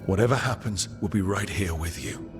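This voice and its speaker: Casting: wry, reassuring party leader for a fantasy game male actor, fairly low voice, music behind. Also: normal speed, lightly acted. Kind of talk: video game voice acting. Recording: music under the voice.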